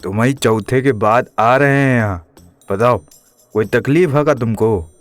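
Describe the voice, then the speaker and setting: A man's voice: mid-range voice, measured pace, no room sound, soft background music, voice pushed down, natural voice. A man voicing a comic, evasive police inspector, grumbling and hesitant, in an audio drama. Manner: hesitant, grumbling, stammering excuses. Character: shifty, cornered rustic male police inspector, comically evasive.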